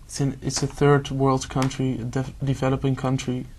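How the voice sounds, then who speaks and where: A man's voice: mid-range voice, completely flat delivery, moderate pace, darkish tone, slight background noise. A young man with a Dutch accent, a candidate in a speaking exam, answering hesitantly with many filler sounds. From Netherlands. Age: young adult.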